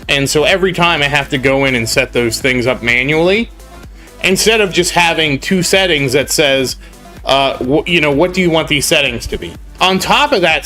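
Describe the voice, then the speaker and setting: A man's voice: a little high, pushed and bright. A young man with a cold and a congested voice, rambling and grumbling on a casual game live stream. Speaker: gaming streamer.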